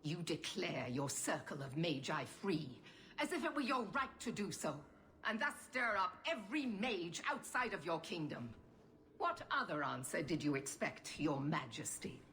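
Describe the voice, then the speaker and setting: Low-voiced, stern female knight commander. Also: sing-song, normal speed, fairly bright tone, slight background noise. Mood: stern, scornful. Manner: cold, haughty, clipped disapproval. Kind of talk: video game cutscene acting.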